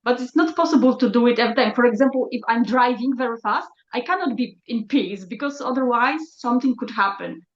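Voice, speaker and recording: A woman speaking English as a second language in a group call, halting and reflective, searching for words. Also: a little high. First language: not English. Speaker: session participant. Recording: echoey lo-fi call audio.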